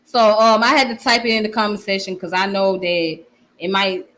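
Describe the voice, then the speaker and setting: A woman's voice: medium pitch, moderate pace, fairly bright tone. A woman with a strong Southern accent, hosting a casual livestream where she reads comments and often repeats her phrases. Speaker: channel host.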